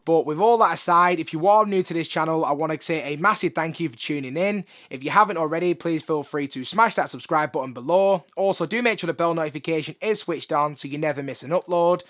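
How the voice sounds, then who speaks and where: A hoarse man, chatting upbeat through a sneaker review in a room full of shoes. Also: fairly high pitch, quick pace, few pauses, a bit bright. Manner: chatty, upbeat, lots of filler phrases. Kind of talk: casual video review. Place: room with shoe collection.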